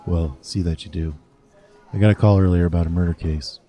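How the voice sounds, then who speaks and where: A man playing a gruff veteran detective. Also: sits low, steady tone, fairly quick, dark tone, close to an everyday voice. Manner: dry, blunt.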